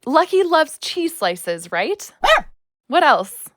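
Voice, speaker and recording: Actress as a high-voiced, earnest young woman. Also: very animated, slow, dry recording, studio quality, exaggerated voice. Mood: eager, happy. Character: earnest, wounded, friendly young woman seeking connection. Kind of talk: audio drama acting.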